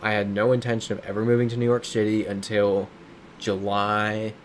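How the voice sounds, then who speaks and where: A man's voice: on the low side, level tone, moderate pace, slight background noise. Chatty man streaming from home. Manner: chatty, reads viewer comments aloud and riffs on them. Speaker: streamer reading chat. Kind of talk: casual live-stream chat while playing a geography game. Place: his home in a big city.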